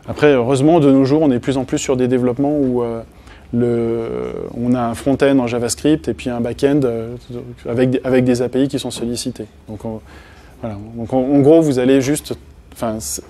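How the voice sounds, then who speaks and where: Mellow-voiced man giving a tech talk. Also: medium pitch, steady tone, moderate pace. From France. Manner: casual, many hesitations and restarts. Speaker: conference speaker. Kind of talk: technical conference talk. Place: meeting room with projector and small audience.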